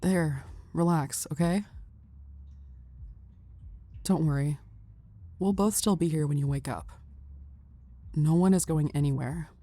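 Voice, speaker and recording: A woman's voice: low voice, slow, long pauses, warm tone, no room sound. A woman voicing a tough, brash young female brawler who teases but means well, in an audio drama. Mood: soothing. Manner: teasing, blunt, easygoing.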